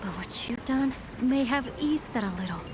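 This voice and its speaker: An actress playing a gentle, thoughtful townswoman in a video game, speaking reflectively and with care. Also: high voice, lively intonation, normal speed, background noise. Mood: sympathetic. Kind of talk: video game cutscene voice acting.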